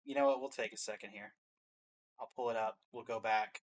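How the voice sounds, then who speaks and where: A man's voice: fairly low pitch, fairly even intonation, brisk. A man chatting casually as the host of a reaction show, commenting off the cuff.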